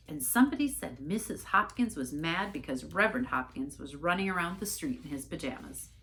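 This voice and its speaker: A woman reading a storybook aloud in an expressive narrating style. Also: low-pitched. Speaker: story reader, church staff member.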